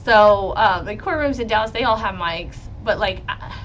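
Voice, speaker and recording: Loud, blunt woman lawyer streaming commentary. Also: mid-range voice, normal speed, muffled recording, slight background noise. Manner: blunt and profane, with frequent laughs. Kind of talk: live-stream commentary on a trial, reading chat.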